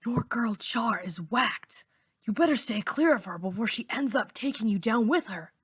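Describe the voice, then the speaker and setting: A woman voicing a calm, earnest teenage boy in a storybook, speaking sincerely and without show. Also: a little high, normal speed, husky, no room sound, clean studio sound. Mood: warning.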